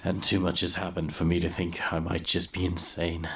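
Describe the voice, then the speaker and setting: A man playing a haunted, guilt-ridden young grave robber who narrates his ruin in a brooding, deliberate way for an audio drama, with noise behind him. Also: sits low, monotone. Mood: despairing, resigned. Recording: clear background noise under the voice.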